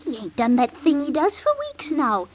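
A woman's voice: high-pitched, animated, moderate pace, a little hiss or hum. A woman playing an emotional, girlish poor young woman of Victorian London in an old-time radio drama. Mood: coy, uneasy.

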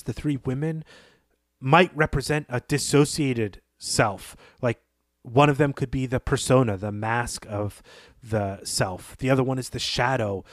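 A man co-hosting a podcast, talking through a story in a thoughtful, analytical way with frequent pauses. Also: medium pitch, dry recording, clean studio sound. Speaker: podcast co-host. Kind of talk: literary discussion podcast.

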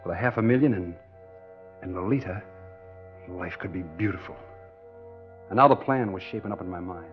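A man's voice: low voice, normal speed, pauses often, lightly acted. An American actor playing a tough, scheming engineer who tells his own swindle in hard-boiled 1940s radio drama style. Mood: sly, dreamy. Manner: hard-boiled first-person storytelling. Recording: dull 1940s radio recording with music.

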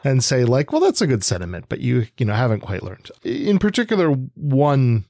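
A man co-hosting a book podcast, working through his analysis in a measured, hesitant, conversational manner. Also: mid-range voice, huge pitch swings, dark tone, no room sound, clean studio sound. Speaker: podcast co-host.

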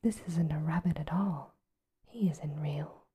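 A woman's voice: deep voice, unhurried, warm tone, a little hiss or hum. A woman voicing a bold, blunt wild male rabbit in a whisper. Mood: scornful.

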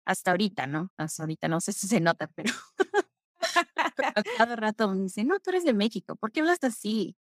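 A young woman from Peru telling stories about her childhood in a relaxed interview, slipping in English phrases. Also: medium pitch, normal speed, no echo, studio quality. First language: Spanish. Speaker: guest. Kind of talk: conversational interview.

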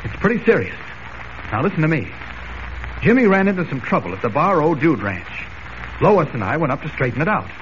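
An actor playing an earnest, urgent newspaper reporter in brisk, emphatic 1940s radio style. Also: male voice, fairly high pitch, sing-song. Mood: insistent, serious. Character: earnest, urgent male newspaper reporter with a secret hero identity. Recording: noisy, muffled old radio recording.

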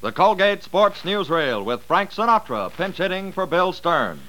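A man's voice: high-pitched, sing-song, unhurried, bright, forceful tone, poor audio. An American man announcing a scripted show in 1940s radio style on a vintage recording.

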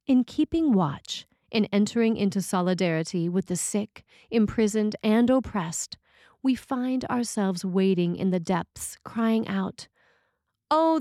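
A woman delivers a scripted sermon as a priest would, measured and formal. Her voice sits at a middle pitch, recorded close and dry with very little room sound. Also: darkish tone, no echo.

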